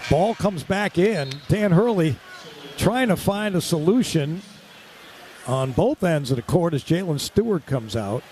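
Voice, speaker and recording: A man calling live radio basketball play-by-play in clipped, excitable running descriptions. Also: a little high, a little slow. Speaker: play-by-play announcer. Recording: courtside with loud crowd and gym noise. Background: crowd and arena ambience.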